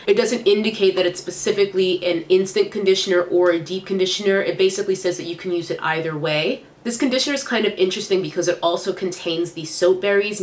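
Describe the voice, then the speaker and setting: A young woman reviewing hair products in a chatty, upbeat vlog, sounding congested and nasal from a cold. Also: on the low side. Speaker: beauty vlogger. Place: small room indoors.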